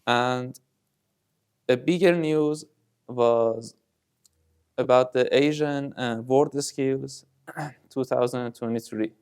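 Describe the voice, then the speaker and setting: Man, from Iran, co-host, slow, measured, smooth clear tone, frequent fillers. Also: mid-range voice, a little mellow. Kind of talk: casual chat.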